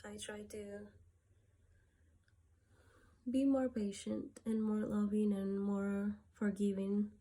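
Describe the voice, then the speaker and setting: A woman from Peru talking through a personal gratitude list, softly and hesitantly, with many pauses and fillers. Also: mid-range voice, little pitch movement. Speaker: vlogger.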